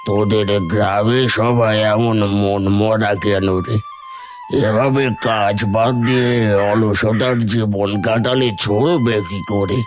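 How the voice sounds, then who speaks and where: A grave holy man of a cartoon village tale, played by a man. He speaks low and measured, chiding like an adviser, with faint music behind. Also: fairly even intonation. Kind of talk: Bengali animated folktale dubbing.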